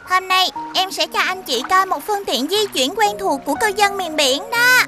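Very high, chirpy little girl. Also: animated, moderate pace, over loud music. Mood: eager, proud. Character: lively little local girl, eager younger sister showing off hometown. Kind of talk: animated cartoon dubbing.

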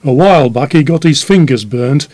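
A man's voice: mid-range voice, animated, moderate pace, darkish tone, no echo, close to an everyday voice. A man playing an indignant, disapproving police inspector in an audio drama, blunt and scolding. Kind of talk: audio drama staged as a podcast.

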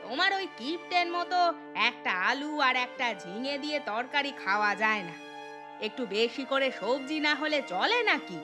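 A woman's voice: high-pitched, expressive, normal speed, over music. A woman voicing a gluttonous, self-pitying elderly mother-in-law in a cartoon story, with a demanding, whining manner. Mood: aggrieved.

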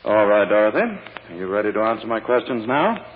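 An actor playing a falsely sweet, needling prosecutor questioning a child witness in a vintage radio drama, turning impatient when contradicted. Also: male voice, lowish voice, sing-song, fairly quick, slight background noise. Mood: coaxing, patronizing. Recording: muffled old radio recording, long reverb.

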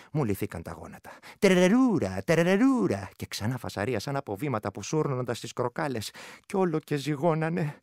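A haunted rustic Greek villager, high-voiced. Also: male voice, vocal fry, no room sound, studio quality. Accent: rural Greek. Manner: earthy dialect storytelling, shifting between hush and outburst. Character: rustic Greek village man, haunted soldier recounting terror. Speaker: actor. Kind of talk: dramatic first-person storytelling in a radio play.

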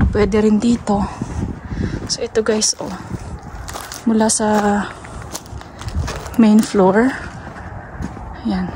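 A woman with a Filipino accent chats casually to viewers as she gives a house tour, halting now and then and mixing in English words. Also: medium pitch, a little mellow. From Philippines. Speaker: vlogger, homeowner giving a house tour. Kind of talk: casual vlog chat to viewers. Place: walking through a large house basement and outdoors in snow. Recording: noisy handheld recording on the move.

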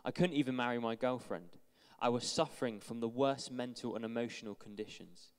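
A young man with a smooth, resonant voice reads a testimony aloud in a steady, earnest way, now and then tripping over a word. He holds a handheld mic, and his words ring out through a big church hall with a long echo behind them. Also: mid-range voice, fairly quick. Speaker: church member reading a friend's testimony. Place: large church hall with stage.

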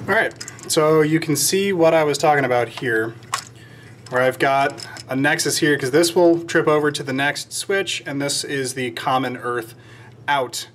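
An American man with a fairly high voice talks through a do-it-yourself job in a casual vlog style. He rambles a little and drops in dry jokes at his own expense. Faint room noise sits behind him. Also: a little slow, a bit bright. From United States, Georgia. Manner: rambling, wry self-deprecating asides. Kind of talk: casual instructional vlog, explaining to camera. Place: indoors in a shop workroom under renovation. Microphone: camera microphone at about arm's length.

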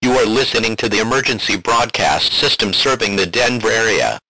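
A man's voice: lowish voice, expressive, fairly quick, bright, forceful tone, slight background noise, low-quality recording. A man reading a scripted emergency alert as a broadcast announcer, formal and impersonal.

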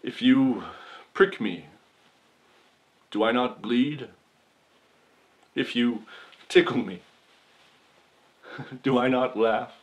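A man playing a folksy, shameless accused man who gives a mock-solemn, melodramatic courtroom monologue with long dramatic pauses, for comedy. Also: mid-range voice, close to an everyday voice. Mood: wounded, solemn. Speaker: podcast performer.

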